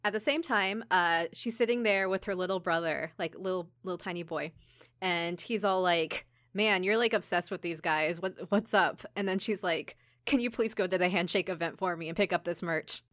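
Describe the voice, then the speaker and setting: A woman's voice: fairly low pitch, normal speed, a bit bright, no room sound, clean studio sound. A woman podcast co-host telling a story in casual chat, informally, with lots of "like" and quoted asides. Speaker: podcast co-host recapping an anime episode. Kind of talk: casual chat, episode recap.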